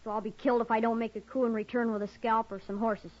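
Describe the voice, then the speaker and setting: A woman's voice: fairly high pitch, level tone, fast, darkish tone, natural voice. A woman playing a proud, wary, stoic captive boy in an old time western radio drama. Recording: muffled old radio recording.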